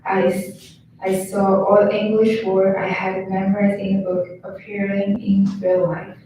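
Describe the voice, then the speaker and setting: A young woman with a Chinese accent, reading her own travel story on stage in careful, halting English with restarts. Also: mid-range voice, level tone, dark tone. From China. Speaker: student storyteller. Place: large hall on stage. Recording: echoey hall from far off, faint noise.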